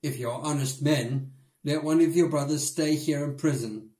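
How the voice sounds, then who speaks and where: A man's voice: fairly high pitch, normal speed, mellow, close to an everyday voice. A stern male governor, acted by a man, interrogating suspects in a commanding, accusing manner. It is a storybook drama reading with a roomy echo.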